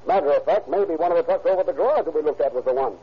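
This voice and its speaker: No-nonsense cop, brisk and dry, husky voice a touch high; muffled old radio recording. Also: male voice, animated. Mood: thoughtful. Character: steady, no-nonsense male police detective. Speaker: police detective. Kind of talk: 1940s radio acting.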